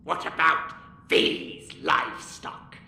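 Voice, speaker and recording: A performer voicing a slow, menacing creature that feeds on human fear, in an audio drama. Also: sharp tone. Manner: drawn-out, hungry, menacing. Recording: roomy echo, faint ambience.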